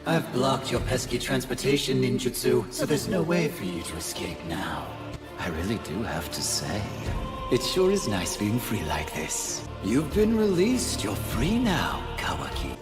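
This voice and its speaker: A man's voice: mid-range voice, huge pitch swings, measured pace. Echoing, haughty male anime demon. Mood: smug. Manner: smug, taunting, formal. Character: haughty, sinister alien demon possessing a boy. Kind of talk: anime dub acting. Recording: echoing voice under clear music.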